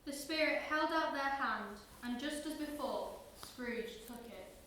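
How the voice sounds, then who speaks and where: A woman's voice: high voice, a little slow, pushed and bright. A teenage girl narrating a radio play as scripted dramatic storytelling. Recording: distant, very echoey, noisy room.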